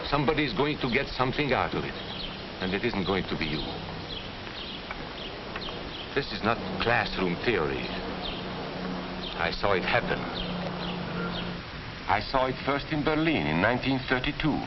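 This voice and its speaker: Earnest deep-voiced professor. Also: male voice, sing-song, heavy background noise. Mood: earnest warning. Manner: earnest, deliberate, didactic. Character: Hungarian-born former professor warning against Nazi hatred. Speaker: narrator recounting his past. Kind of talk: 1940s educational film acting. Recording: dull 1940s film soundtrack, music behind.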